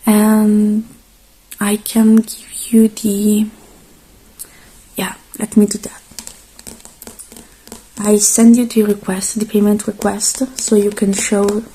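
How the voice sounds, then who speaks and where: A woman speaking softly and gently in a halting, reassuring ASMR roleplay, slipping between quiet voice and whisper. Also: mid-range voice, gravelly, slightly rough, poor audio. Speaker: ASMR performer playing a robot repair technician.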